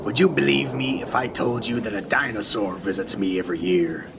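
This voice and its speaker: A man's voice: fairly low pitch, a little fast, vocal fry. A man playing a seasoned, wry lighthouse keeper in an amateur radio play, speaking in a dry, matter-of-fact way. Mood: wistful, fascinated. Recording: noisy rain and storm ambience.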